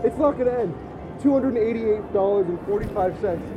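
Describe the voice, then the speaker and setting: A young man with an excitable manner, chatting and commentating casually as he records an audio travel log. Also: high voice, fairly quick, dull audio. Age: young adult. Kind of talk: casual chat and field commentary. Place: on location during a road trip. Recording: field recording, music and traffic behind.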